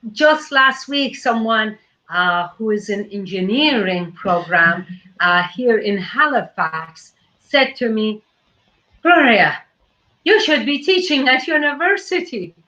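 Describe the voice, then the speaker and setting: A woman hosts the conversation, talking slowly and thoughtfully with frequent fillers. Her voice is fairly high and bright. The audio is dull and lo-fi, like a video call. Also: lively intonation. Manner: slow, thoughtful, with many fillers. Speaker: host. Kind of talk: casual conversation.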